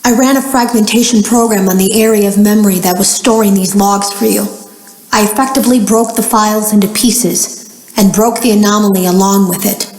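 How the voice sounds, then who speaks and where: A woman playing an anxious game developer recording secret warning logs for a video game, speaking in a measured, grave way. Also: medium pitch, fairly even intonation, darkish tone, echoey room, natural voice, poor audio. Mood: grave, accusing. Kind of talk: recorded log monologue, dramatic game voice acting.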